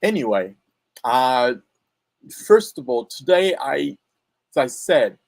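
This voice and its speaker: Slow, halting man with a Japanese accent. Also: mid-range voice, very animated, gravelly, no room sound. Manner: halting, many fillers and restarts. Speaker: pronunciation coach. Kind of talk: casual spoken lesson.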